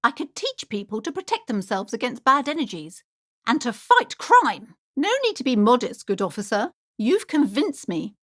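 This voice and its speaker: High, theatrical, fretful woman shopkeeper. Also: sing-song, normal speed, a bit bright, dry recording, studio quality. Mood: gushing, inspired. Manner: theatrical, fretful, swinging between gushing and alarm. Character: anxious, superstitious, preachy woman bookshop owner. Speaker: voice actor in a video game. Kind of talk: dramatic video game character dialogue.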